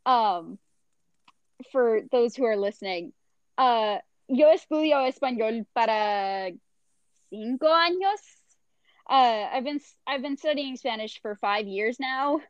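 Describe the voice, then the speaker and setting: A woman's voice: fairly high pitch, lively intonation, takes it slowly, dry recording, muffled recording. A young woman co-hosting a podcast, chatting casually and rambling with lots of fillers. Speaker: podcast co-host. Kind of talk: casual chat.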